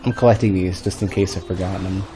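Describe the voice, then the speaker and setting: A male streamer with a deep, bass-heavy voice, a bit stuffy, chatting casually and thinking aloud while he plays. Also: fairly even intonation, fast talker, music in the background, rough recording. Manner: rambling, thinking aloud with restarts. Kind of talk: casual gameplay commentary. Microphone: desk microphone.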